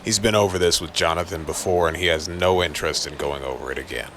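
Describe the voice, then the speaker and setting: A man narrating a scripted noir horror story in a deliberate, dramatic way. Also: low voice, a bit bright, slight background noise. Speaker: narrator and voice actor. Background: faint music.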